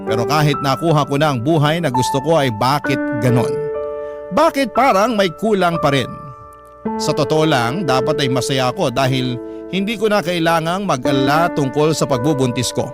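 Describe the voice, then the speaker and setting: Man narrating emotively over loud music. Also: on the high side, lively intonation, moderate pace. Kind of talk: dramatic first-person storytelling of a listener's letter. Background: prominent music.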